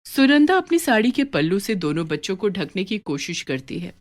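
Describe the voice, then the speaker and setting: A woman narrating a scripted moral story for children in an expressive storyteller manner. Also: mid-range voice, moderate pace.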